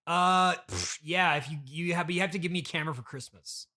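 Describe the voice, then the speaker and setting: A man plays a spoiled, rebellious son in a comedy interview. The voice is high and bright, with a sarcastic, scoffing edge. He teases and makes demands of his rich father as if owed everything. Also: fairly quick. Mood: smug. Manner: dismissive and demanding, scoffing and teasing.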